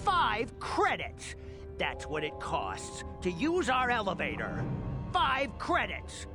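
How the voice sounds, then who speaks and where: An actor voicing a grasping beggar in a video game, barking out drawn-out demands. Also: medium pitch, wildly swooping pitch, music in the background, heavily acted. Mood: demanding, aggressive. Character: shrill grasping beggar demanding a toll, streetwise bully.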